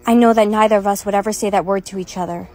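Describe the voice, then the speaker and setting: A woman's voice: medium pitch, steady tone, racing, dark tone, close to an everyday voice. A woman playing an earnest, protective and matter-of-fact mother in comedic skit acting.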